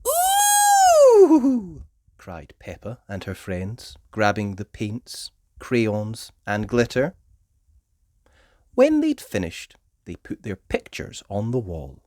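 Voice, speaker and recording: A woman reading a children's story aloud as an expressive narrator. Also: very deep voice, takes it slowly. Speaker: storybook reader.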